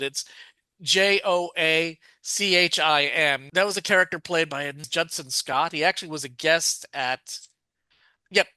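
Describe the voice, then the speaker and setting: A man's voice: on the high side, sharp tone, smooth, no room sound, rough recording. A man co-hosting a podcast, chatting casually and rambling with lots of fillers and self-corrections. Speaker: podcast co-host. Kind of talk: casual chat about a TV show.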